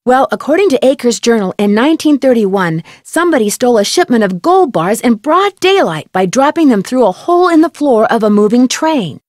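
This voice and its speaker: A woman playing a composed young female amateur detective in a video game, explaining things in a measured way. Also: fairly high pitch, big pitch swings, darkish tone, no room sound, studio quality. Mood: composed, explanatory. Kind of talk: scripted video game voice acting.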